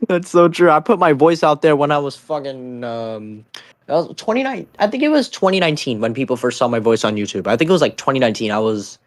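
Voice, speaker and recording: A young man streaming and chatting casually, rambling, with his pitch jumping up when he stresses a point. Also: animated, fairly quick, dry recording. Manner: rambling, swings up in pitch on emphatic lines. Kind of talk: casual chat.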